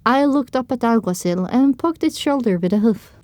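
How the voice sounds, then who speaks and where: High-voiced woman narrating an audio drama. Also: expressive, normal speed, a little mellow, no echo. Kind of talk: first-person scripted audio drama narration.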